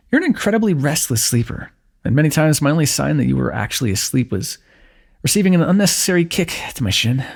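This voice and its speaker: A man performing an intimate fantasy roleplay for ASMR, speaking softly in a teasing, affectionate way. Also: mid-range voice, creaky. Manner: soft, teasing and affectionate, with frequent light laughs.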